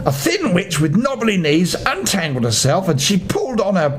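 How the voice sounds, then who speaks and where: An older man tells a children's bedtime story. His voice sits a little high and moves with lots of melody, leaning dramatically on key phrases. A clear background noise and rumble run under the recording. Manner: expressive, dramatic emphasis with drawn-out phrases. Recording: noisy home recording with low rumble.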